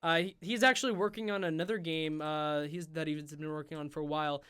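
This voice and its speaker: A young man hosting a gaming livestream, rambling with lots of fillers and going hoarse. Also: a little high, steady tone, fairly quick, fairly bright tone. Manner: rambling, filler-heavy, self-interrupting. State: losing his voice. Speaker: host. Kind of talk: casual livestream chat while gaming.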